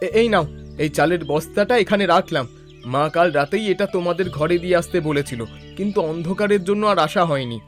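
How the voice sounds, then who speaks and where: A man voicing a helpful, easygoing young village son and neighbour in an animated family drama. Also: sits high, fairly quick, faint music behind, close to an everyday voice.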